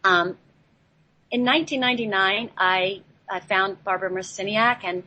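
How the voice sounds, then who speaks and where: A woman telling a personal story on a talk show in a halting, reflective way, picked up by a laptop microphone. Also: medium pitch, bright, forceful tone. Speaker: guest telling their life story. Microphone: laptop built-in microphone, not headset. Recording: noisy, off-mic laptop microphone.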